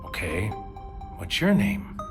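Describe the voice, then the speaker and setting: Low male lead: wary noir investigator, brooding measured narration, sci-fi audio drama, music bed. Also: wildly swooping pitch, gravelly, heavily acted. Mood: gentle, careful. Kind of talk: first-person scripted audio drama narration, present tense.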